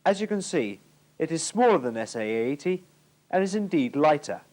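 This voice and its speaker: A man's voice: a little high, very animated, moderate pace, darkish tone. A British man giving formal, precise scripted narration for an instructional military training film from the 1980s.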